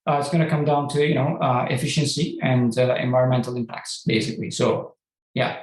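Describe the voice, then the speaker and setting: A man's voice: mid-range voice, steady tone, fairly quick. A man from Turkey, a company CEO answering conference questions in a hesitant, explanatory way with many restarts. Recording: echoey room.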